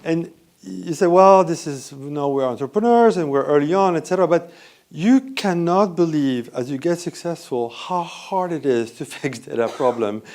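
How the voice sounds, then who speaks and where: A man with a French accent giving a lively, conversational conference talk and often asking the audience questions. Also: fairly high pitch, normal speed. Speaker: conference speaker. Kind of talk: live conference presentation. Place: hotel event room.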